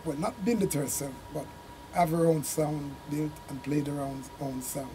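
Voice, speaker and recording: An older man with a Jamaican accent, a reggae singer telling his story in a relaxed, rambling way in a small studio room. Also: a little high, slight background noise, rough recording. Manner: unhurried, rambling recollection with Jamaican turns of phrase. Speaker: reggae singer and sound system man, interview guest.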